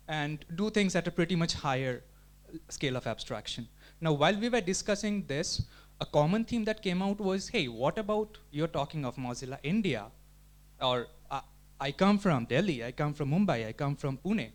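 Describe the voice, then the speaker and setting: A man giving an explanatory talk at a community meetup, thinking aloud with frequent restarts and filler words. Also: a little high. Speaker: community presenter. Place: meetup room.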